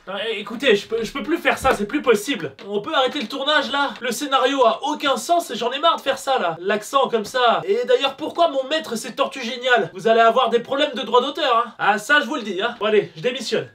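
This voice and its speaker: A man's voice: extremely high pitch, fairly quick, hardly any pauses, bright, forceful tone, far from the mic, a little hiss or hum, pitched above the performer's own voice. A man voicing a whining, exasperated cartoon ninja who is sick of his role. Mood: fed up. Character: whiny, fed-up cartoon ninja actor quitting his role.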